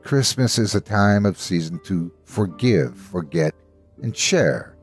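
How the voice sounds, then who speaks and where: An actor playing a jolly, friendly village man in an audio storybook, hearty and theatrical, with a husky voice. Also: low voice, lively intonation, slow pace, heavily acted. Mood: earnest, grave. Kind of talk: audio storybook character acting. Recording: music behind.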